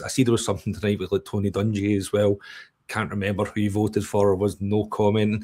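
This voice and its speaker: Low-pitched Scottish man in his forties. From Scotland. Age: 40s. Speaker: podcast host. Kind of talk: casual sports interview chat.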